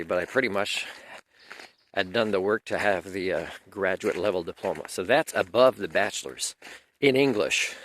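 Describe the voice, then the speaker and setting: A man whose first language is French talks on in a loose, wandering monologue with an aggrieved edge. His voice sits on the low side. He stops now and then to clear his throat. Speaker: video lecturer. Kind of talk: unscripted monologue.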